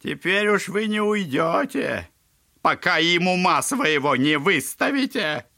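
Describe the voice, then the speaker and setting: An actor playing a spiteful old buffoon who needles others with theatrical mockery in a literary audio drama. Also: male voice, sits high, unhurried, vocal fry, full character voice. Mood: gleefully taunting. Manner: taunting, theatrical, animated.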